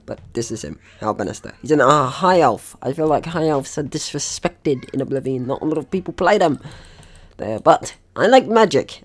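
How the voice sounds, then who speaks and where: A young adult gaming host giving casual let's play commentary in an excitable, sweary, rambling manner. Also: mid-range voice.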